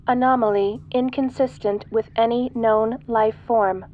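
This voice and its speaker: A woman's voice: on the high side, steady tone, measured pace, lo-fi sound, a little hiss or hum, close to an everyday voice. A woman voicing an impassive spaceship computer, giving formal, clipped announcements in a sci-fi audio roleplay. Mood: impassive, warning. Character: impassive female ship computer, procedural, alarm-giving machine.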